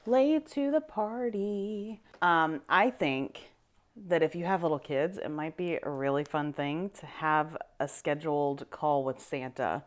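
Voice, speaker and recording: A woman in her thirties with a low voice, chatting casually while doing her makeup for a vlog, upbeat and self-deprecating. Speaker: vlogger. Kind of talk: casual get-ready-with-me vlog.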